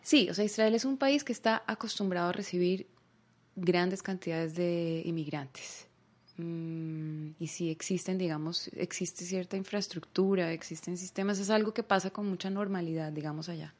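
A woman with a Bogotá Colombian accent talks as a guest in a calm, reflective interview. She picks her words with care and often stops on small fillers as she works out her thoughts. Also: fairly low pitch, normal speed. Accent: Bogotá Colombian Spanish.

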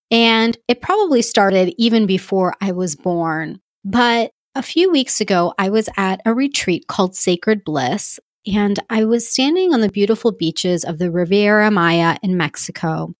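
A woman's voice: mid-range voice, dry recording, clean studio sound. A woman hosting a podcast, telling a personal story in an earnest, reflective way. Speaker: podcast host.

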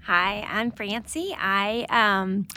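A woman in her forties from Alabama, introducing herself with gentle humor in a studio interview. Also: mid-range voice, fairly even intonation, drawn-out words, slight background noise. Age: 40s. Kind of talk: casual panel interview. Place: studio with mics.

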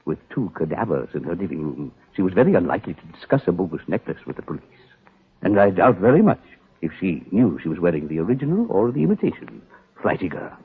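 A thin, narrow old radio recording carries an elderly gentleman murderer. His courteous, precise speech swings widely in pitch, with a cunning, ornate formality. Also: very animated, quick pace, slightly rough, full character voice. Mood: coolly explaining. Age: older. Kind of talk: 1940s radio acting.

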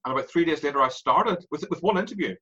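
Bright, husky Irish man telling stories. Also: on the high side, quick pace, highs cut off. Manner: animated, laughs through stories. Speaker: guest. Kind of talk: candid interview storytelling.

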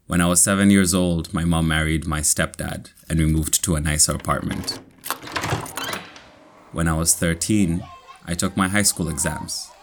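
A young man with a Kenyan accent, reading a personal story slowly and clearly for English learners. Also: deep voice, fairly even intonation, slight background noise. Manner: slow, clear, measured reading.

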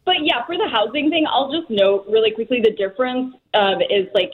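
Chatty woman with a high, dull-sounding voice. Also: crowd noise. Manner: quick and chatty, many "like" and "you know" fillers. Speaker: personal finance expert guest. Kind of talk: casual talk show chat.

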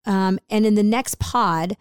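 A raspy-voiced woman in her forties, co-hosting a podcast in a chatty, rambling way full of fillers. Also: medium pitch, measured pace, no room sound, studio quality. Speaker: podcast co-host. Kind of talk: casual podcast chat.

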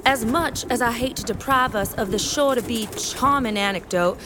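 A woman plays a formal, wry officer in a fantasy audio drama. Her voice is fairly high and articulate, with clipped politeness over exasperation. Noisy ambience with birds is behind her. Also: normal speed, natural voice. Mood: flustered politeness.

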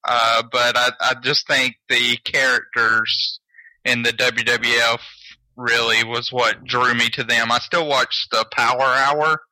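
A man's voice: mid-range voice, level tone, slow pace, sharp tone, no room sound, low-quality recording. A man in his mid twenties from Georgia in the US, co-hosting a casual podcast in a matter-of-fact way. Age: 20s. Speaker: podcast co-host.

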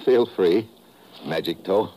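Deep-voiced, hard-boiled American secret agent. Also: male voice, slow pace, mellow, rough recording. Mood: wry, satisfied. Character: tough wisecracking male American secret agent. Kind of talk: 1950s radio drama acting with first-person narration.

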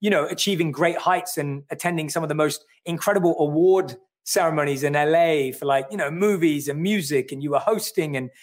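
A man's voice: a little high, a little fast, hardly any pauses. A man from London with a London accent, an author explaining his ideas in an earnest, reflective way during a livestream conversation. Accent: London English. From London, England. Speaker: author and guest.

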